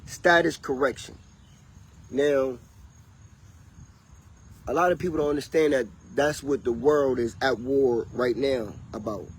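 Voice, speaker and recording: A man rambles and preaches in a loose, easygoing way on a casual livestream. His voice sits at an ordinary pitch, recorded outdoors with faint cricket and insect noise behind him. It sometimes drifts away from the mic. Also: measured pace, long pauses. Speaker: vlogger.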